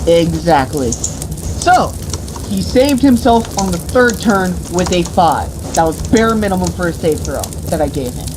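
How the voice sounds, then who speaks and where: A woman's voice: on the low side, a little slow. A woman retelling a tabletop game as game master in a theatrical, mock-grandiose, crude comedic way. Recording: noisy room with steady background hiss.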